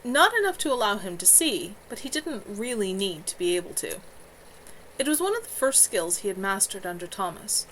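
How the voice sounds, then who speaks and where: A woman, an author, reading her own novel aloud on a live stream from home, in a gentle, unhurried way. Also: mid-range voice, big pitch swings, slight background noise, poor audio.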